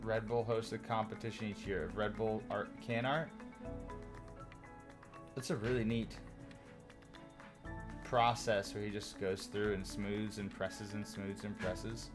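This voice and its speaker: A man chatting on a livestream, loud-voiced and animated, reacting to chat and rambling through opinionated stories. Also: fairly low pitch, moderate pace, long pauses. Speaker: streamer. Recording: music playing clearly behind voice. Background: music.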